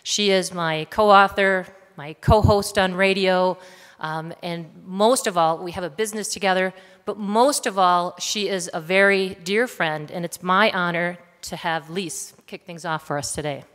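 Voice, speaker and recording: A woman with a booming voice speaking earnestly at a conference, thanking her hosts and telling her own story. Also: fairly even intonation, unhurried, a bit bright, long reverb tail. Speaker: health writer and co-presenter. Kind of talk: conference talk introduction. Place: conference hall. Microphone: handheld or stand microphone.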